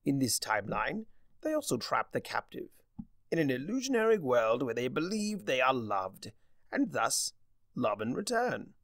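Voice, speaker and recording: Trickster spirit, played by a male narrator: theatrical, smug, grandly explanatory, highly animated pitch, touch of gravel. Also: normal speed, dry recording, studio quality. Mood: mocking, lecturing. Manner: drawling, mocking, grandly explanatory.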